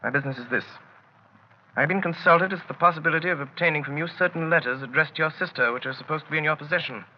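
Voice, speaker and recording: A muffled, hissy 1930s radio recording carries a cool, commanding gentleman detective, played by a man. His speech is formal and precise. He stays dryly polite and shrewd throughout. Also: fairly high pitch, very fast, close to an everyday voice. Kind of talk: 1930s radio drama acting.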